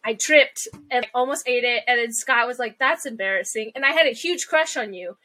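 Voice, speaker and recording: A woman in casual podcast chat, telling stories with animated energy, her voice a little stuffy and nasal from allergies. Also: fairly high pitch, normal speed. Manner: animated storyteller.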